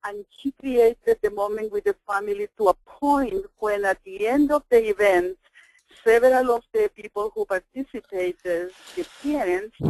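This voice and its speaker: A woman's voice: on the high side, low-quality recording. A woman from El Salvador with a Spanish accent, presenting a webinar as a trainer in an earnest, emphatic way.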